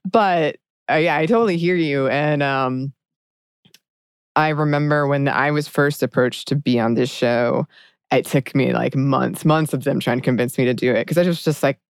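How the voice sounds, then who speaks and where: Low, smooth-voiced woman podcast host. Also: fairly even intonation, no echo, studio quality. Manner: earnest, reflective, halting with restarts. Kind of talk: candid conversational podcast chat.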